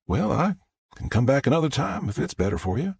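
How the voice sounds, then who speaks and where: A man voicing a confident, friendly businesswoman with a smooth Southern US accent in an audiobook. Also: mid-range voice, sing-song, brisk, darkish tone, no room sound, clean studio sound, voice pushed up, exaggerated voice. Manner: smooth, polite, forthright.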